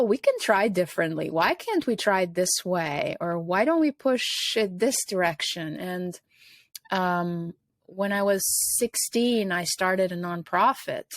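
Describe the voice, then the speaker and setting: A woman's voice: lowish voice, dry recording, studio quality. A middle-aged woman from Albania, a guest in an interview, speaking reflectively with many fillers.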